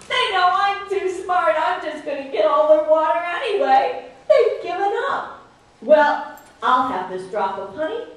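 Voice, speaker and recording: A shrill, squeaky male trickster beast whines and begs for his life, as a woman storyteller puts him on. His pleas tumble out fast and wheedling. The sound is distant and bounces around a roomy, echoing space. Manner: frantic, wheedling, begging. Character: sly pleading male jackal-like trickster animal. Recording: echoey room, from a distance.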